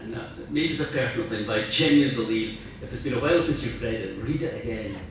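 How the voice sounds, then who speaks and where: A man's voice: mid-range voice, fast talker. Echoey, distant man giving a toast. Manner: enthusiastic, emphatic. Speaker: club president giving an after-dinner toast. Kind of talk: informal after-dinner speech. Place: large room at a club dinner. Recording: echoey room from far off, noisy.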